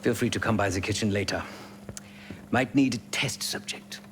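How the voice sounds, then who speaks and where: An actor playing a cerebral, remorseful ex-secret-police hacker in a video game, speaking formally and wryly. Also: male voice, lowish voice, fairly bright tone, a little hiss or hum, lightly acted. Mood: dry, wry. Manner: formal, measured, philosophical, wry. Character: remorseful ex-Stasi hacker turned pacifist, cerebral, wry man. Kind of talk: video game character dialogue.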